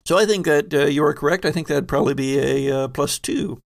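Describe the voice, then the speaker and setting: Man game master with gravelly edge. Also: fairly high pitch, a little fast. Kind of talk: running a tabletop role-playing game.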